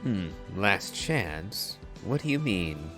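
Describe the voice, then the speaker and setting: A man comments on a game in a fairly low voice. He is slow and measured, musing at length in a casual way, with music clearly playing behind him. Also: very animated. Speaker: game streamer.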